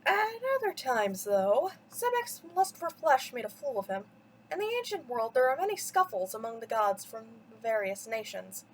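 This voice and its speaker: Lady doing a dramatic bedtime story read, really high swoopy voice, some background noise. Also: very animated, normal speed, low-quality recording. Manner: dramatic, theatrical emphasis with big swoops. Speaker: storyteller reading mythology to children.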